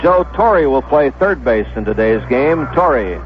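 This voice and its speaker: An American man calling baseball on 1970s radio in a measured announcing manner, repeating names for clarity. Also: medium pitch, animated. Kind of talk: 1970s radio sports commentary, pregame lineups. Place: stadium broadcast booth. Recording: dull lo-fi vintage radio broadcast.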